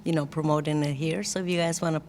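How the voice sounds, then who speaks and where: A woman's voice: sits low, flat delivery, fast talker, darkish tone, slight background noise. A woman from Costa Rica with a Costa Rican Spanish accent, telling her personal story in a candid, chatty, lightly joking way as an interview guest. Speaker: guest, church worship team leader.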